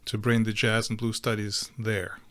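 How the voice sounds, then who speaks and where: A middle-aged man from Ukraine, a guest telling his story in a casual interview, halting, with many fillers and restarts. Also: lowish voice, level tone, fairly bright tone, dry recording.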